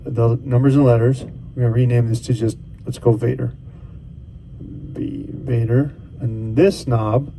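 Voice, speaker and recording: A man's voice: medium pitch, gravelly, mellow, slight background noise, rough recording. A man casually talking through a device setup step by step as a tutorial host. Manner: casual, talks through each step as he goes.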